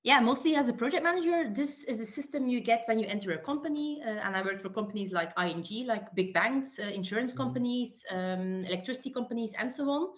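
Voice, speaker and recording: A woman from Belgium talks freely as a podcast guest, rambling warmly through long explanations with frequent restarts. Her voice sits slightly high, and it comes through a dull, lo-fi call line. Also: expressive, fast, hardly any pauses. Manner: chatty, many restarts and fillers, talks in long runs. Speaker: guest, project manager and consultant. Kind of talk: casual interview talk.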